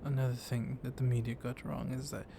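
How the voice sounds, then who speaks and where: A man playing a flirtatious, gently dominant vampire librarian in an intimate ASMR roleplay, speaking softly and often in a whisper, teasing and seductive. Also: mid-range voice, little pitch movement, brisk, gravelly, slight background noise, close to an everyday voice. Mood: reassuring.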